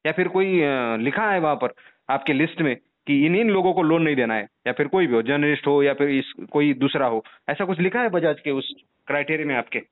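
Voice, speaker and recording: A man's voice: a little high, animated, a little fast. Indignant man on a muffled phone line. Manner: indignant, insistent, repetitive rhetorical questioning. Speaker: journalist caller complaining to a call centre. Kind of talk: complaint phone call. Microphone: telephone. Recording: muffled narrow-band phone call recording.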